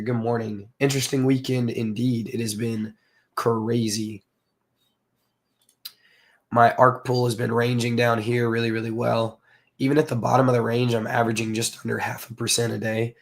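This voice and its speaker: A hoarse man from the southern United States, hosting a casual livestream and chatting with viewers. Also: medium pitch. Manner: rambling, filler-heavy, greets chat viewers. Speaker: crypto livestream host.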